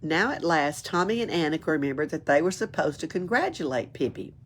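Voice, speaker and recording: A woman reads a children's book aloud in an expressive storytelling way. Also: sits low, a little fast, a little hiss or hum. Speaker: library storyteller.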